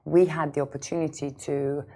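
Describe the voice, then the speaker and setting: A woman's voice: low voice, level tone, moderate pace, warm tone. A woman in her thirties from Lithuania, a founder speaking conversationally as an interview guest, with emphatic repetition. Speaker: startup founder, podcast guest.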